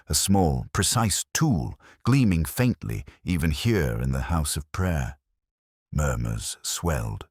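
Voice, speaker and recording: Very deep husky male story narrator. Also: dry recording, studio quality. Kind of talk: scripted mystery story narration.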